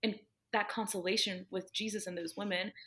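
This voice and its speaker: A young woman speaks with earnest conviction, her talk loose and full of fillers as she works through her thoughts. Her voice sits in an ordinary middle pitch. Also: fairly even intonation, a little fast, sharp tone. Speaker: podcast guest. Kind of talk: casual faith conversation.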